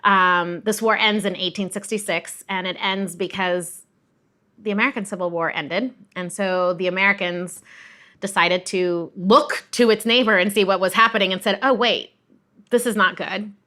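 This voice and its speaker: Woman, bright forceful voice, conversational expert guest who explains with emphasis and a teacherly bent. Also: mid-range voice, fairly even intonation, moderate pace. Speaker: guest expert, museum director of education. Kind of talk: explanatory conversational history telling.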